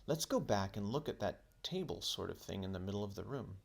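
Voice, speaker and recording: A man's voice: lowish voice, lively intonation, brisk, vocal fry. A man voicing a headstrong, curious and argumentative young boy from a children's storybook, insistent and exasperated.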